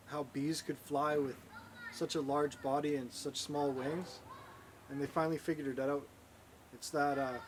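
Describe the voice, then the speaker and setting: A man's voice: medium pitch, background noise. A laid-back man on a casual podcast, sharing bits of news and trivia. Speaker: podcast co-host. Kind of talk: casual chat.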